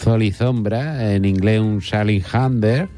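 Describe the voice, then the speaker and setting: A man's voice: lowish voice, unhurried, dark tone, soft background music, close to an everyday voice. A man voicing a cheeky, foul-mouthed female kangaroo in an improvised comedy sketch, rambling and joking. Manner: rambling, joking, crude asides.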